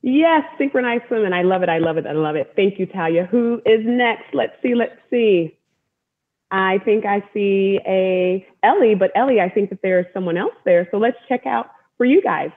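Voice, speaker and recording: A woman's voice: mid-range voice, normal speed. American woman hosting over narrow-band video call. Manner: encouraging, repeats words for emphasis. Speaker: host, storytelling athlete. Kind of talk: welcoming talk to an online group. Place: room at home. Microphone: wireless earbud on video call.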